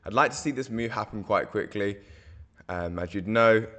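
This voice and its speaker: A hoarse man, walking viewers through market charts in a casual, rambling way, full of fillers and self-corrections. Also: on the low side, moderate pace, fairly bright tone. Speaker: crypto market analyst host.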